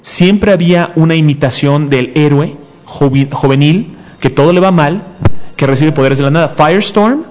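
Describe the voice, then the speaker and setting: A young man in his mid twenties with a slightly high voice, debating like a fan on a comics show. He argues with emphasis, repeats his points and pushes over interruptions. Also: normal speed, a little mellow. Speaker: comic book show host. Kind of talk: heated casual debate about comics.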